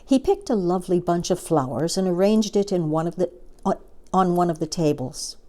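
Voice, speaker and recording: A woman storyteller reads a children's book aloud. Her voice sits fairly low, and she narrates expressively. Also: expressive, normal speed, dark tone.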